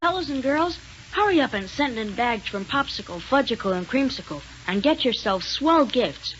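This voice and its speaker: A woman playing a peppy youngster pitching prizes in a 1930s radio commercial. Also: animated, moderate pace, a bit bright. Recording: muffled 1930s radio recording, faint noise.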